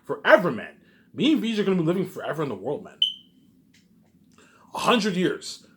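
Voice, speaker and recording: Fast-talking, boastful man rambling. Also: high voice, huge pitch swings, noisy background. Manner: boastful, repetitive, with frequent catchphrases; emphatic, swearing freely. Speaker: online video creator. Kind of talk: rambling casual monologue.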